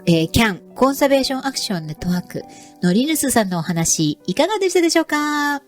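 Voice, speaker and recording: A woman's voice: high-pitched, big pitch swings, very fast, faint music behind. A woman hosting a nature program, speaking politely and explaining as she introduces segments. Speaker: host. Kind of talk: radio-style narration.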